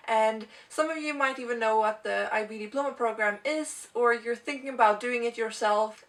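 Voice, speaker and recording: A woman's voice: fairly high pitch, fairly bright tone, far from the mic. A young woman from Sweden, a native Swedish speaker, chatting earnestly to camera and sharing advice in a casual vlog. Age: young adult. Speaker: vlogger.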